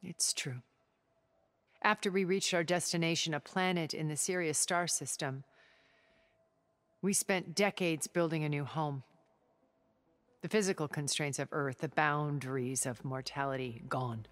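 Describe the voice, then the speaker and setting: An actress playing a poised, secretive, long-lived woman in a video game cutscene, speaking in a measured, formal, eloquent way. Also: low-pitched. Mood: grave, regretful. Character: poised, secretive thousand-year-old woman, refined space colonist.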